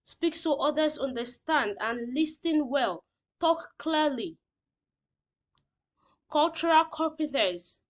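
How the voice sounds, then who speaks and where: A woman's voice: sits high, lively intonation, slow, long pauses. A woman teacher reading out lesson points and explaining them simply, often checking that learners understand.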